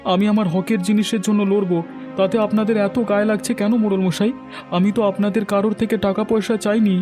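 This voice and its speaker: Man acting an earnest, high-voiced humble villager. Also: level tone, a little fast, over music, natural voice. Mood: defiant. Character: aggrieved, humble young villager, earnest underdog. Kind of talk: cartoon dialogue.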